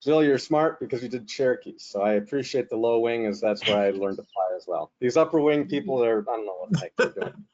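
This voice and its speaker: A man hosts a webinar over a video call, chatty and joking, with lots of fillers along the way. His voice sits mellow and dark. The audio comes through slightly muffled. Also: medium pitch, animated, a little fast. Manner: joking, rambling with frequent fillers. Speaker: webinar host and moderator. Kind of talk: casual, chatty webinar hosting.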